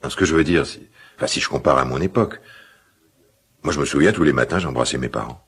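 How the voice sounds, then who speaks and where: A middle-aged actor playing a gruff but tender, old-fashioned father in a naturalistic radio drama, speaking in halting, musing phrases. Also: extremely low pitch, exaggerated voice. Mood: hesitant, reflective. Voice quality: gravelly, husky.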